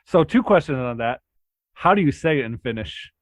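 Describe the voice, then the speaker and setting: Man, casual podcast host, relaxed talk, dry close recording. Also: normal speed, no echo. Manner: relaxed, lots of "like" fillers, rises in pitch when excited. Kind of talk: casual chat.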